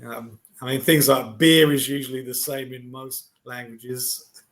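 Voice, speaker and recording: A man chats loosely on a video call, laughing easily and trailing off into fillers. His voice sits at an ordinary, middle pitch for a man. He sounds like an online tutor in a casual lesson. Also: measured pace. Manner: relaxed and chatty, laughs often, trails off with fillers.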